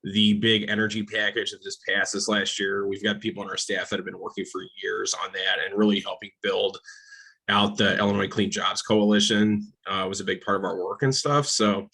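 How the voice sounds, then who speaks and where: A man from an environmental council speaking on a webinar in a relaxed, casual way, with lots of fillers. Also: lowish voice, normal speed, creaky, slightly rough, poor audio. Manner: relaxed, filler-heavy, laughs easily. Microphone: computer mic on a video call.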